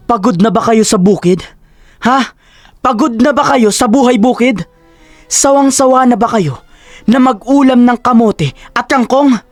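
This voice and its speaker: A man's voice: very high voice, unhurried, long pauses, no room sound, soft background music. A man playing a cruel, arrogant husband in a Filipino audio drama, with a taunting, theatrical delivery. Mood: mocking rage. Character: cruel, arrogant, sneering adult husband, a melodrama villain.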